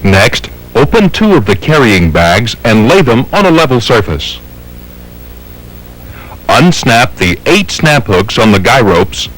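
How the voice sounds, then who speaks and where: A man's voice: medium pitch, very animated, unhurried, a bit bright, low-quality recording. A man with an American accent reading scripted instructional narration in a formal, methodical, step-by-step way. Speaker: training film narrator.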